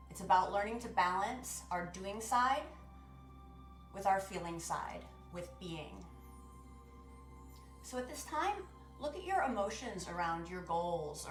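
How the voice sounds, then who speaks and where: A woman hosting a guided meditation, speaking to listeners in a measured, instructive way as she gives spiritual guidance. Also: fairly low pitch. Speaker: guided meditation and sound bath host. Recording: roomy echo with music. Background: music.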